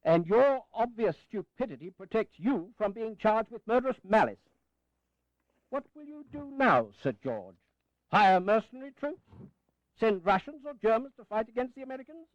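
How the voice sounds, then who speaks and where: Indignant old British statesman, high-pitched, animated. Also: normal speed, no room sound. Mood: scornful. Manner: sardonic, oratorical. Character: indignant old British general and minister, principled, scornful. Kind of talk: dramatized historical audio play. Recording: slightly dull 1980s audio recording.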